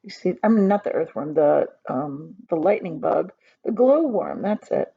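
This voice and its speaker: Animated woman reading a children's storybook aloud. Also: lowish voice, moderate pace, gravelly, breathy edge, no echo, dull audio. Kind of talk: expressive storybook narration with simple asides.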